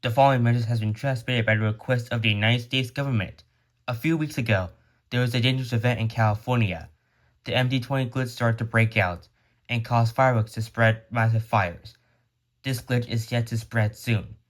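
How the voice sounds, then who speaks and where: A man's voice: medium pitch, fairly even intonation, brisk. A man reading scripted emergency alert narration in a formal, solemn announcer manner.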